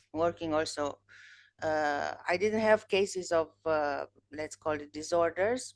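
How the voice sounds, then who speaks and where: A woman in her thirties, whose native language is Romanian, hosts a podcast and talks with a guest over a webcam video call from a room at home. Her voice sits on the low side, and she speaks earnestly, often restarting her sentences. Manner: thoughtful, earnest, with frequent restarts. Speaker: podcast host. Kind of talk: casual interview chat.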